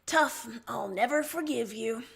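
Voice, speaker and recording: A woman's voice: fairly high pitch, animated, a little slow, gravelly, fairly bright tone, husky, full character voice. A woman voicing a flustered, stubborn young alien emperor in a livestreamed story, huffy and defensive.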